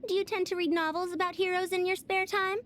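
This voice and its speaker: A woman's voice: extremely high pitch, level tone, quick pace, a bit bright, a little hiss or hum. A woman playing a refined, confident lady scholar in a video game, with a formal, theatrical and grandiose manner. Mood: thoughtful. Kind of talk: video game character acting.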